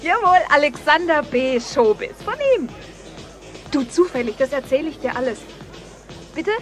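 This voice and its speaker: Actress doing breezy gossipy woman in an audio drama, highish voice, bit of music behind. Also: normal speed, natural voice. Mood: excited. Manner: colloquial, breezy, eager. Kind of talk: radio drama acting.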